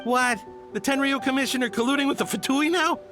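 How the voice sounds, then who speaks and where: A man's voice: very high-pitched, brisk. A man playing an aggrieved, nervous merchant in a video game, flustered and indignant. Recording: music behind the voice.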